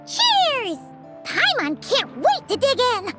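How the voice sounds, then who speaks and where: A woman voicing a tiny, childlike, food-loving fairy companion in a video game, squeaky and bubbly. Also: wildly swooping pitch, takes it slowly, music in the background, exaggerated voice. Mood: gleeful. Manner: talks of self in third person, bubbly. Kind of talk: video game character voice acting.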